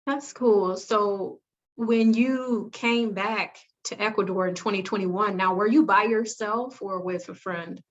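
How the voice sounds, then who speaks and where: A woman's voice: mid-range voice, fairly even intonation, a little slow. A woman hosting a casual interview chat, asking friendly questions and giving short backchannels. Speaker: host. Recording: dull lo-fi audio.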